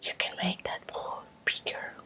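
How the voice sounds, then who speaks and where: Whispering Spanish-accented woman, very slow. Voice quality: breathy, unvoiced whisper. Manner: slow, halting short phrases with gentle repetition. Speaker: ASMR creator guiding a Reiki energy exercise. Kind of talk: whispered ASMR guided meditation.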